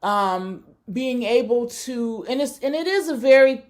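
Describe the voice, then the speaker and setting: A woman with a fairly high voice speaks in a reflective, searching way. She often pauses with fillers and corrects herself mid-sentence as she works through her ideas in an academic talk. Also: animated, darkish tone. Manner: thoughtful, searching, many fillers and self-corrections.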